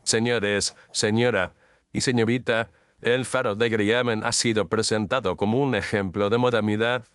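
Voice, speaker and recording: A man playing a composed, incisive detective in an audio drama, speaking formally and with measured declamation. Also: lowish voice, fairly even intonation, a bit bright, clear, resonant tone, no echo, studio quality, natural voice. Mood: composed, accusing.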